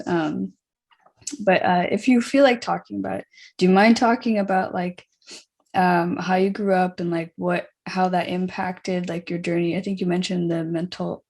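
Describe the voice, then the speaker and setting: A woman hosts a podcast over a video call, her voice on the low side. She talks in an easy, encouraging way, with plenty of fillers and restarts. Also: a little mellow. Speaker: podcast host. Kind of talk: casual interview chat.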